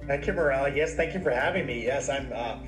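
A man's voice: mid-range voice, fast, over music. A middle-aged man from Ireland, a podcast guest talking casually and rambling with many fillers and restarts. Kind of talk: casual interview chat.

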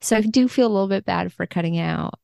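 A woman's voice: mid-range voice, fast, gravelly, warm tone, no echo, studio quality. A woman speaking as a podcast guest in casual, informal conversation. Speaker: podcast guest, book translator.

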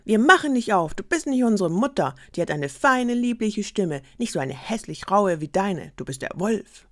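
A woman voicing a group of little goat kids who loudly refuse to open the door. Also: mid-range voice, very animated, normal speed, dry recording, clean studio sound, voice pushed up. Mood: defiant. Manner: shouting together, defiant. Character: chorus of small young goat kids, stubborn.

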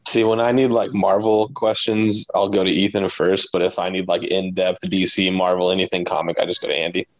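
A man with a fairly low voice chats casually as a podcast guest. His talk is slangy and informal, full of bro and man. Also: little pitch movement, brisk, dry recording, low-quality recording. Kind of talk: casual group chat.

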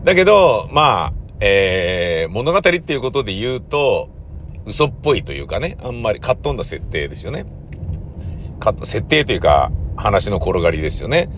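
A man with a lowish voice chats through a casual solo monologue. His intonation swings widely as he rambles and stresses his points in colloquial speech. A faint background noise runs under the recording. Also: big pitch swings, moderate pace, fairly bright tone. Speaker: playwright and troupe leader.

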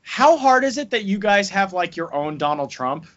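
New Jersey guy, podcast co-host, excitable, lively higher voice, dull lo-fi sound. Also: normal speed. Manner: excitable, bursts into shouting. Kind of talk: casual banter.

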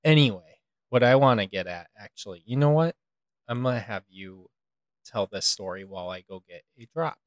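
A man with an American accent chatting casually as a co-host, halting and emphatic, with a jokingly dramatic streak. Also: fairly low pitch, no room sound. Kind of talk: casual chat.

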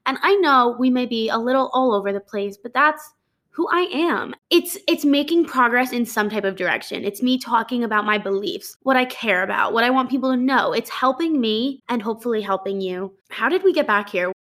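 A woman's voice: a little high, expressive, a little fast, fairly bright tone. Chatty young woman podcast host. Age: 20s. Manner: chatty, upbeat, rambling with fillers and laughs. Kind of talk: casual solo podcast talk. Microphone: studio microphone.

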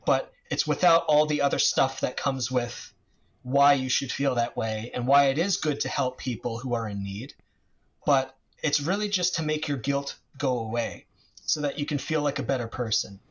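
A man's voice: mid-range voice, normal speed. A man from the United States talking casually as a guest about politics, rambling with many restarts and fillers. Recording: slightly dull lo-fi audio.

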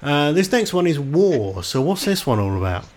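A man's voice: a little high, very animated, normal speed. A man casually hosting a chat interview, reading out questions from listeners and bantering with guests.

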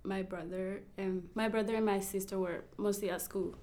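A young woman from Rwanda, a model, speaking softly in a casual interview, laughing often and using many fillers. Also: mid-range voice, steady tone, moderate pace. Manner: soft-spoken, laughs often, frequent fillers.

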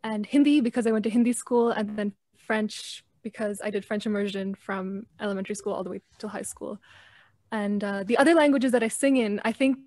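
Soft-spoken young woman podcast guest. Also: mid-range voice, a little fast, dry recording. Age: 20s. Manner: soft-spoken, hesitant with many ums. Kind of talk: casual podcast chat.

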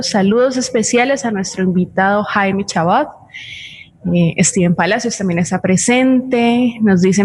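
Colombian woman co-hosting, medium-pitched. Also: slight background noise. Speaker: co-host handling audience messages. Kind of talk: live greetings and reading out of listener comments.

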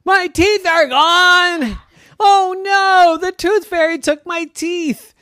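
A parent storyteller voicing a silly, greedy dad who has false teeth, in an excitable, hammy manner. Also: medium pitch, normal speed, dry recording, clean studio sound, close to an everyday voice. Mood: frantic.

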